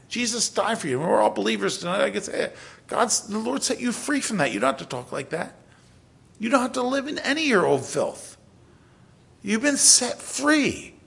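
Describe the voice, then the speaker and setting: A middle-aged male pastor with a gravelly, husky voice pitched a little high. He preaches in a loose, conversational way, restarting his sentences, then pushing up to emphatic peaks before dropping almost to a whisper. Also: a bit bright. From South Philadelphia. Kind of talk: sermon.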